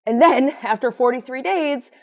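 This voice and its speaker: A fairly high-pitched female voice presents the news as commentary. She hosts in a conversational, informal register, with a sardonic edge and wry asides. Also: normal speed. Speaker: host of a news commentary show.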